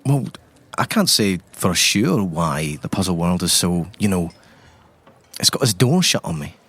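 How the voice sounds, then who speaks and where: A man's voice: deep voice, big pitch swings, a little slow, a bit bright, husky, heavily acted. A man playing a flustered, lovelorn puzzle maker turned estate agent in improvised roleplay, stammering, effusive and self-conscious. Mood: yearning. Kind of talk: improvised tabletop roleplay acting.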